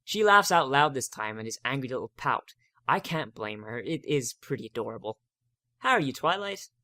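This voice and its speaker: A man's voice: medium pitch, animated, normal speed, sharp tone, no echo, clean studio sound. A man giving a dramatic first-person reading of a story as narrator.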